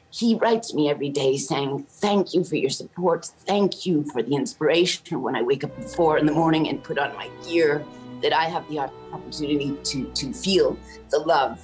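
A middle-aged woman from Canada with a notably low voice speaks with earnest passion over a video call, as a guest in a news interview. Faint music sits beneath her words. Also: rough recording. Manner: earnest and impassioned. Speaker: orchestra conductor. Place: indoor room at home.

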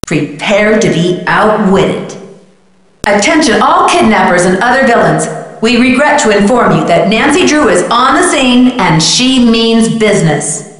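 A woman's voice: mid-range voice, big pitch swings, unhurried, slightly rough, dry recording, low-quality recording. A woman playing a plucky, curious young detective in an adventure game, talking to herself in a wry, determined way. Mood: playful, determined. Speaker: video game voice actor. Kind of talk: scripted adventure game character lines.

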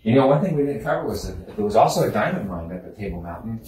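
Folksy man chatting in an echoey museum. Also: lowish voice. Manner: relaxed, folksy, quick with a joke. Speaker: chamber of commerce host. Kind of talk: casual chat on a tour. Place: indoor museum room. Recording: roomy, distant mic.

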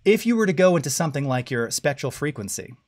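Middle-aged male host with a low voice, relaxed live-stream tutorial delivery, close studio mic. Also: fast talker. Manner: casual, checks in often with "all right?" and "okay?". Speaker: audio masterclass host. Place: home studio with dim blue lighting.

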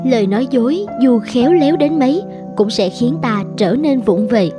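A woman playing a caring, gently admonishing mother of young boys in a cartoon. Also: on the high side, animated, normal speed, lightly acted. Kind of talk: cartoon voice acting. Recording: music under the dialogue.

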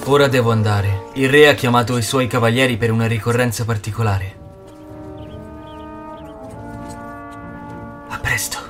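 A man's voice: mid-range voice, steady tone, quick pace, close to an everyday voice. Man as a noble knight father, music behind. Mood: affectionate, resolute. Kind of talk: animated film fandub storytelling.

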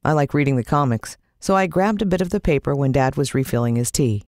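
An American woman narrating a children's story in the first person, expressive and suspenseful. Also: a bass voice, fast talker, dry recording, clean studio sound. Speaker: audiobook narrator.

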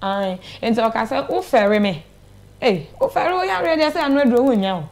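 A woman's voice: fairly high pitch, lively intonation, a little fast. A young woman with a Ghanaian accent giving a personal account as a studio interview guest, retelling conversations as she goes. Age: young adult. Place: small TV studio, indoors. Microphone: clip-on lapel mic.

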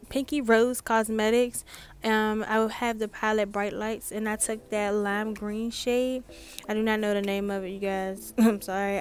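Woman, mid-pitched, chatty and informal makeup tutorial voice-over over music. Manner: chatty, informal, addressing viewers. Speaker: makeup artist narrating a tutorial.

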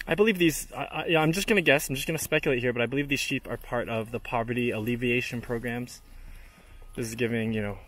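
A man's voice: medium pitch, quick pace, fairly bright tone. A man giving casual, off-the-cuff travel vlog commentary, chatting informally and thinking aloud. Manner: chatty, informal, speculating aloud, occasional swearing. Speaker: travel vlogger. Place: on location, outdoors and aboard a train. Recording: noisy handheld outdoor and travel ambience.

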